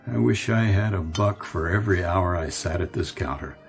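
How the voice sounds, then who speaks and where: A man's voice: deep voice, moderate pace, creaky, soft background music. A man playing a weary, nostalgic middle-aged businessman who muses wistfully in a radio drama. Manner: wistful, musing.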